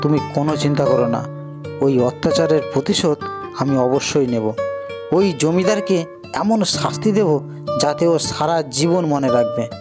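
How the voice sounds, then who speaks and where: Man acting high-pitched earnest young cartoon hero. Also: normal speed, music in the background, lightly acted. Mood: vengeful resolve. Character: earnest, determined young village husband.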